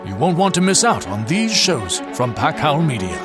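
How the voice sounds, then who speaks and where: A man's voice: on the high side, sing-song, normal speed, over music. A man reading a scripted promo for audio fiction shows. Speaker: promo announcer.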